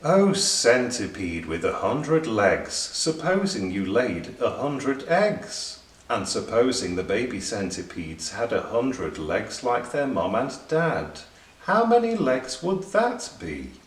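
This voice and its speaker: Man voicing an earnest rhyming rabbit, lively pitch. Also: slow. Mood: curious, reciting. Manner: recites in rhyme. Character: earnest, lonely young male rabbit poet. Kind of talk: children's storybook character voice. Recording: roomy, distant, faint background noise.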